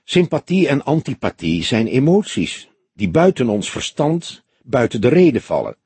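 A man voicing a composed, frank older woman in an audiobook, speaking softly in a measured, genteel, thoughtful way. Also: medium pitch, big pitch swings, slightly rough, no echo, exaggerated voice. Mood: thoughtful, disapproving. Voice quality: soft, friendly voice. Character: older woman club member, composed, soft-spoken, frank. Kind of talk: audiobook character dialogue.

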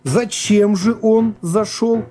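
A man's voice: sits high, steady tone, slow, warm tone, soft background music, pitched above the performer's own voice. A man voicing a pompous, falsely naive prosecutor who draws out formal, insinuating questions in a radio reading. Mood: insinuating, smug. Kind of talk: dramatised literary reading.

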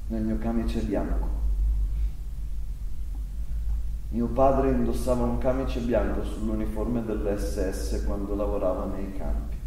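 A man's voice: fairly low pitch, dark tone, natural voice. Actor playing a troubled young man, very echoey stage. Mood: grave, haunted. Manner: dramatic storytelling with hesitant breaks and repetitions. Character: troubled 25-year-old German son of a Nazi doctor, agitated. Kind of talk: theatrical first-person monologue. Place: reverberant theatre space, recorded at a distance. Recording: echoey room from far off, faint noise.

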